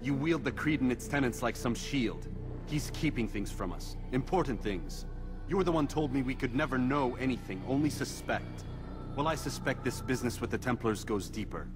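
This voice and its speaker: An actor playing a terse, resolute young assassin in a video game cutscene, serious and clipped. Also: male voice, mid-range voice, animated, normal speed, over music, close to an everyday voice. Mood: insistent.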